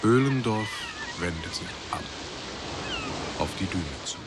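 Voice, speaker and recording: Male drama narrator: low-set voice, drawn-out deliberate pacing, literary scripted reading. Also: expressive, heavy background noise.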